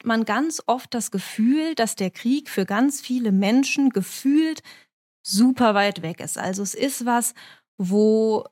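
A woman's voice: fairly high pitch, a little mellow. Non-native woman explaining at length. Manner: measured, explanatory, with many hesitations. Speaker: correspondent in Moscow. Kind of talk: interview answer.

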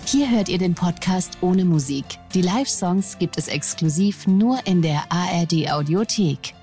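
A woman reading a scripted show announcement slowly and deliberately. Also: on the low side, expressive, warm tone, soft background music.

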